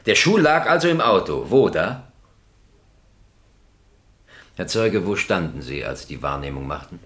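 A man's voice: sits low, big pitch swings, a little slow, a bit bright, exaggerated voice. An actor playing a stern presiding judge in a German radio crime drama, formal and probing in his questioning. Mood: insistent, formal.

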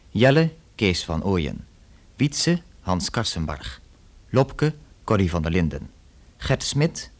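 A man reading scripted closing credits as the announcer of a radio drama. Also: fairly low pitch.

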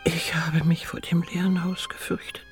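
An actress playing a lonely, tender elderly woman in a radio drama, musing in a hushed, intimate way. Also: on the low side, flat delivery, normal speed, in a whisper, soft background music, natural voice. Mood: wistful, tender. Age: older.

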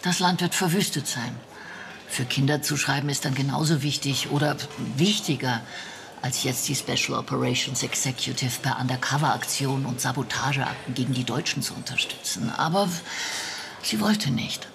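An actress in a radio drama playing a sombre British intelligence officer, measured and reflective, troubled by doubt. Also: female voice, very deep voice, gravelly, crowd noise. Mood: reflective, uneasy. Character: British female intelligence officer, sombre, doubting, brooding over lost agents. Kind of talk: radio drama acting with inner monologue.

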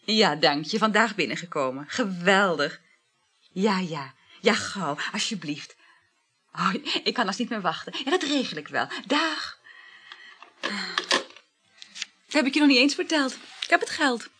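A woman's voice: mid-range voice, animated, a little slow, pauses often, sharp tone, breathy edge, heavily acted. A woman acting a flighty, chatty married woman having a secret affair in a naturalistic radio play, gossipy and matter-of-fact about scandal. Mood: excited, chatty.

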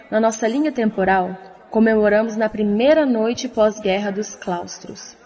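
A woman's voice: a little high, darkish tone, natural voice. A woman playing a stately, impatient alien president in an audio drama, with formal, commanding speech. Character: stately, impatient female alien president addressing her people. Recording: long hall reverb.

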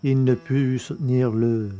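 A man narrating a science-fiction novel as an audiobook, in a steady, measured reading. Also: mid-range voice, dull audio, faint music behind.

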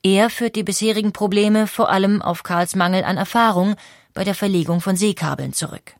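A woman narrating a scripted history documentary with clear, even storytelling and rhetorical questions. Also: mid-range voice, brisk, dry recording, clean studio sound.

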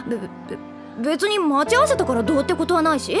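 Touchy teen schoolgirl, curt and defensive, high voice, drama CD. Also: big pitch swings, quick pace, over loud music. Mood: prickly. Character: prickly teenage schoolgirl.

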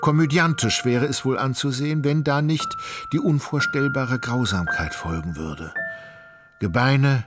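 An actor narrating a literary radio play as an ironic, all-knowing storyteller, measured and ornate in his delivery. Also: mid-range voice, natural voice. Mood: wry, measured. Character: wry, omniscient, ironic male storyteller. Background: music.

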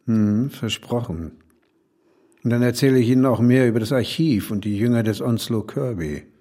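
Husky, mellow old village man, acted by a man. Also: medium pitch, lightly acted. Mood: grave, formal. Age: older. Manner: formal, measured, cryptic. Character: cryptic, formal old village man hinting at dark secrets. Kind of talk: audio drama acting.

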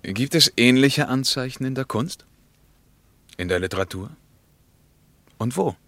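An actor playing an earnest, polite West German traveller who speaks in a measured, formal way in a radio drama. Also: male voice, mid-range voice, expressive, fairly bright tone, dry recording. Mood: inquiring, polite.

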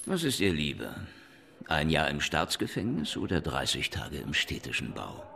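Hard-bitten cop, very deep, gravelly, lively pitch. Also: male voice, moderate pace, crowd noise, heavily acted. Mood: menacing. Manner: menacing, dry, interrogating. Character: tough, sardonic veteran cop. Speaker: police detective. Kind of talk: radio play acting.

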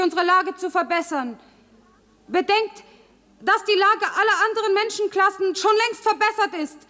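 An actress playing a young maidservant making a fervent, proclaiming political speech in a radio drama, in a reverberant hall. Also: female voice, extremely high pitch, bright, forceful tone. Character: young maidservant rousing a public workers' meeting. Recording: reverberant large meeting hall.

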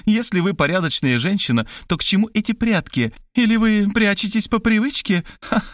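A man's voice: high voice, expressive, normal speed, a bit bright, dry recording, clean studio sound, pitched above the performer's own voice. A man voicing a jealous, spiteful wife from a novel, cutting and accusatory in manner. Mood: mocking accusation. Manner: biting, accusatory.

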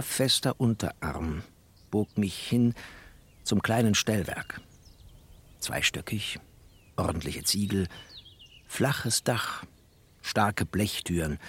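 An actor playing a bookish, sardonic refugee who narrates in a literary, poetic and opinionated way, as in a radio drama. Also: male voice, fairly low pitch, breathy edge. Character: bookish, sardonic male first-person narrator, a postwar refugee.

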